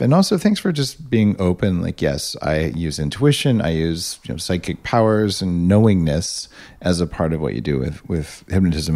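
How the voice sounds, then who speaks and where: Deep gravelly man hosting an interview. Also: warm tone. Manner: laid-back, chatty, joking. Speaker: podcast host. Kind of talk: casual interview chat.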